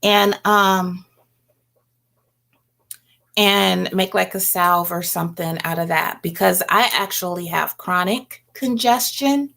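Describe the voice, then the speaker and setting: Casting: middle-aged woman, casual livestream host, slightly unhurried pace, gravelly fry in the voice, informal and chatty. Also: fairly low pitch, fairly bright tone. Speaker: host of a home herbalism livestream. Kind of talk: casual livestream chat, reading and answering comments.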